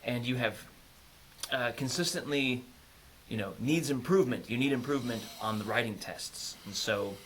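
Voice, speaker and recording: A man's voice: mid-range voice, normal speed, a bit bright, a little hiss or hum, rough recording. A man playing a flustered teacher on a video call, hedging and stumbling through filler words. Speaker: teacher in a comedy sketch. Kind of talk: improvised comedy sketch on a video call.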